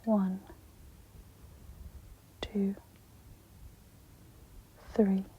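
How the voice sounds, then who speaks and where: A woman speaks in a whispery, breathy voice, gently guiding a meditation in a soft-spoken ASMR style. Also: medium pitch, level tone. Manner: slow, gentle, instructional. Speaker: host of guided meditation ASMR. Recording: dull lo-fi with audible background noise.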